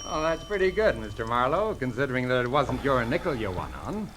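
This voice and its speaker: A man's voice: mid-range voice, sing-song, brisk, close to an everyday voice. An actor playing a haughty, dismissive man in 1940s radio drama, cool and sarcastic. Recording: very noisy old radio recording.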